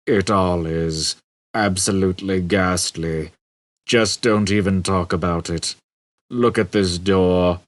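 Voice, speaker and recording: A man voicing a depressed, bored robot in an audiobook, droning slowly with gloomy sarcasm. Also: fairly even intonation, dry recording. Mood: bleak disgust. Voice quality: low, droning. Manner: slow, gloomy, sarcastic muttering. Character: hopelessly depressed, bored male humanoid robot. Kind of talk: audiobook character voice.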